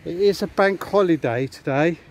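A man's voice: on the high side, moderate pace. A man chatting casually to viewers as he walks along a beach and past hotels, recorded on a handheld camera outdoors. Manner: rambling, chatty, addresses viewers. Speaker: vlogger. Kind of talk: casual walking vlog. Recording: faint outdoor noise.

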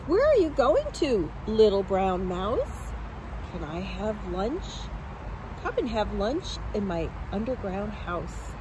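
A woman voicing a sly, hungry male fox in a storybook reading, with smooth and falsely friendly invitations. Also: mid-range voice, big pitch swings, takes it slowly, noisy background. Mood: coaxing.

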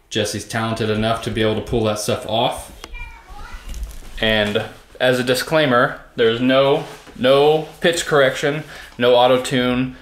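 A man's voice: mid-range voice, roomy sound. A man casually explaining home music recording to his viewers in a behind-the-scenes vlog, talking from his own recording room. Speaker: home studio recording vlogger.

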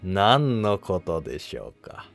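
A man's voice: sits low, very animated, unhurried, vocal fry, soft background music, voice pushed down, heavily acted. Man voicing a shrewd, probing Buddhist monk. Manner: polite, insinuating questions. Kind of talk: historical drama animation.

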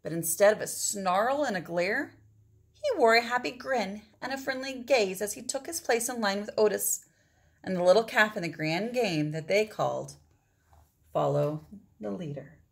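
A middle-aged woman reads a children's storybook aloud, with dramatic phrasing and drawn-out words for emphasis. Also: mid-range voice, expressive, creaky. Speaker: storybook reader. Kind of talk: expressive read-aloud of a children's picture book.

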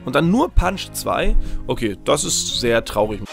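Hoarse, gravelly-voiced young man gaming. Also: mid-range voice, big pitch swings, unhurried, over loud music. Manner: chatty, rambling, many fillers and restarts. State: slightly hoarse after a long stream. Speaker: Let's Play host. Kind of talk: casual gameplay commentary.

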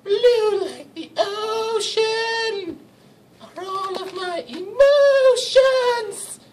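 A woman's voice: very high voice, very slow, distant mic, full character voice. A woman voicing a desperate, dreamy young mermaid princess, reciting verse in a puppet show. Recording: very noisy amateur puppet show recording, clicks.

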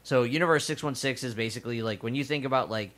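Dude explaining comics in a podcast, slow, emphatic, normal pitch. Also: male voice, fairly bright tone. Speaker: podcast co-host. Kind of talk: casual chat.

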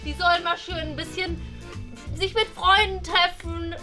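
A woman's voice: very high-pitched, steady tone, a little slow, music in the background. A young woman playing a bossy, meddling old grandmother in a family sketch, scolding emphatically. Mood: indignant. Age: young adult. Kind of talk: improvised YouTube family sketch. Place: indoors, kitchen and lounge.

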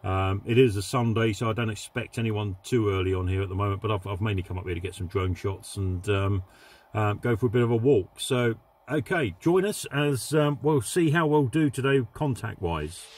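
A man's voice: lowish voice, moderate pace. Casual English man, CB radio hobbyist. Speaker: amateur CB radio vlogger. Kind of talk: casual vlog chat and radio operating. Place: inside a parked vehicle.

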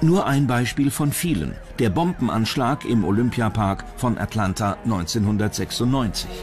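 A man's voice: on the low side, animated, moderate pace. A man reading scripted narration as the narrator of a documentary. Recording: music bed under voice. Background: background music.

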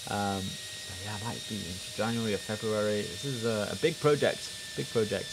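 A man's voice: fairly low pitch, a little fast. A young man in a headset streaming an air traffic control game, chatting to his viewers and giving clipped radio calls. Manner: chatty, addresses chat, mixes in clipped radio phrasing. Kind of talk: casual gameplay commentary with radio calls. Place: home room at a desk.

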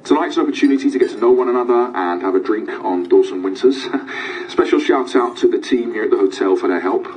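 A man's voice: medium pitch, fast talker, darkish tone, close to an everyday voice. A man playing an affable, hesitant conference host making an informal announcement to delegates. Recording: distant mic, faint room noise.

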